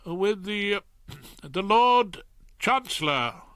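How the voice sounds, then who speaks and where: Man as nervous old judge, hesitant, higher pitch. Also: lively intonation, very slow, fairly bright tone, no room sound, studio quality, exaggerated voice. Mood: apprehensive. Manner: hesitant, throat-clearing. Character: nervous, bumbling male judge, older.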